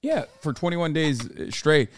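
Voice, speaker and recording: A man's voice: a little high, sing-song, unhurried, a crowd in the background. A man co-hosting a podcast, chatting casually, swearing freely and telling rambling stories with laughs. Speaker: podcast co-host. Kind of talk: casual chat.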